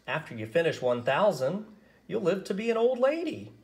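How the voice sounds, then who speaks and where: A man voicing a gentle but firm female nurse in a picture book, reassuring in manner. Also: high-pitched, very animated, normal speed, voice pushed up.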